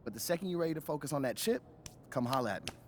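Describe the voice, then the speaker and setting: An actor playing an energetic, hype young male agent and best friend in a video game cutscene. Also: a little high, rapid-fire, slight background noise, close to an everyday voice. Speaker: player's friend and manager.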